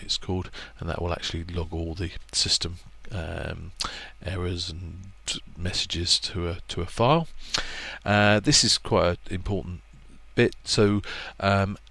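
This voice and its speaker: A man talks through a product setup in a very low, easygoing voice. He pauses often with ums and restarts as he explains each step, recorded as a voice-over on a screen capture. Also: gravelly. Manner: relaxed, lots of ums and restarts, plainspoken. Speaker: product presenter for a marine electronics maker.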